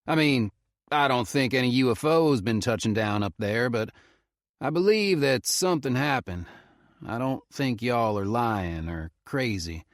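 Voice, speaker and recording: A man's voice: mid-range voice, animated, a little slow, dry recording, studio quality, voice pushed up. A man voicing a middle-aged male park ranger with a thick Southern drawl, folksy and casual. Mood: earnest.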